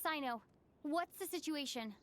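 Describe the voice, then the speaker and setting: Poised, high-voiced young female mage played by a woman. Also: moderate pace, a little hiss or hum, natural voice. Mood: earnest. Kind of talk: video game voice acting. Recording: loud music over the voice.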